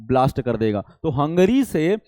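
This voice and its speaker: A high-voiced man delivers an explanatory lecture, recorded close and dry. He speaks as an exam-prep teacher, didactic and repetitive, checking understanding with rhetorical questions. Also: very animated, moderate pace, dark tone, no room sound. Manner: didactic, repeats key points and asks rhetorical questions.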